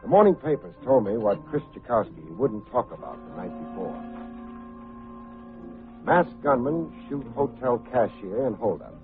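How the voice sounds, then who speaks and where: An actor playing a wry, hard-boiled private detective in an old-time radio drama, speaking in a clipped, sardonic way. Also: male voice, mid-range voice, big pitch swings, normal speed, natural voice. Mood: wry narrating. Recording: muffled, phone-like old radio recording with faint music.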